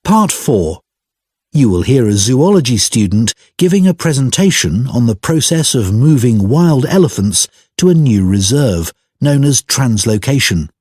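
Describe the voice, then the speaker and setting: A man reading scripted exam instructions as an announcer, in a formal, deliberate manner. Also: mid-range voice, sing-song, darkish tone, breathy edge, no room sound, studio quality.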